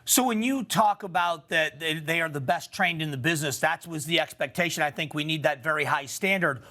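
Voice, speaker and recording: A man's voice: fairly high pitch, fairly quick, sharp tone. An American man hosting a television news interview, putting questions to a guest in broadcast style.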